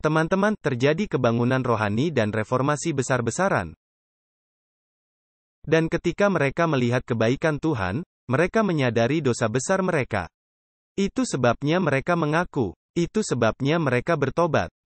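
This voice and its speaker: A man's voice: medium pitch, lively intonation, moderate pace, no room sound. A man preaching a dubbed sermon earnestly, with emphatic rhetorical questions put to his listeners.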